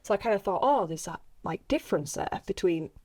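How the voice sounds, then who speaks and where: A woman in a casual podcast chat, talking in an excitable, giggly, rambling way as she interviews a fellow actress. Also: on the low side, fairly quick. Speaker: actress interviewing a castmate on a behind-the-scenes podcast.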